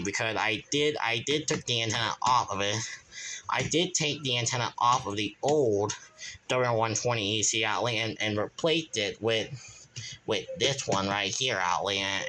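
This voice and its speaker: Bright-voiced man rambling through a home vlog. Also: on the low side, slight background noise, low-quality recording. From Kansas, USA. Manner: rambling, with many restarts and a repeated verbal tic. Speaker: channel owner, weather radio hobbyist. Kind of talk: casual show-and-tell vlog. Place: his bedroom at home.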